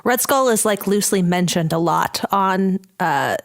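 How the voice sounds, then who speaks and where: A woman's voice: mid-range voice, lively intonation, measured pace. A woman co-hosting a podcast, chatting about films in a bubbly, giggly way, with many stumbling restarts. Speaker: podcast co-host. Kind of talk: casual chat about films.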